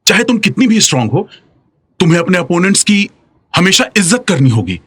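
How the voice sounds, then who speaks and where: A man voicing a stern, principled middle-aged coach and father in a dubbed drama, with a husky voice and a firm, instructive manner. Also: sits high, big pitch swings, a little fast, a bit bright, dry recording, exaggerated voice. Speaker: martial arts coach and father. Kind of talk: dubbed screen drama dialogue.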